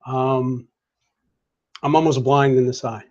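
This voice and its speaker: A man's voice: mid-range voice, fairly even intonation, slow pace, a little mellow. A man chatting casually as a game player, asking playful, probing questions. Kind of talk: casual chat. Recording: dull lo-fi sound.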